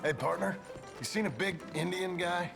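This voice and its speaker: An actor playing a blunt, weary middle-aged outlaw in video game dialogue. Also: male voice, high voice, moderate pace. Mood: plain. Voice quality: gravelly. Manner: blunt, clipped. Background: game music.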